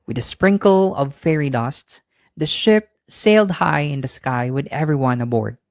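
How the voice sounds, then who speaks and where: A storyteller reads a children's bedtime tale from a script at a middle pitch. The sound is dry and close, with no room around it. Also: normal speed, no room sound.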